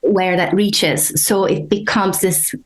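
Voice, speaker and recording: A woman from Turkey, a guest in an interview, speaking hesitantly and thoughtfully with many pauses and ums. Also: medium pitch, fairly even intonation, rough recording. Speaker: guest, energy healer. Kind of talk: casual interview talk.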